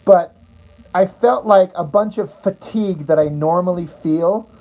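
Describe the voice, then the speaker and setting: A woman's voice: lowish voice, unhurried. A woman livestreaming casually to her viewers, rambling bluntly and crudely as she answers their comments. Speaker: livestreamer chatting with viewers while drawing. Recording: tinny phone-like audio.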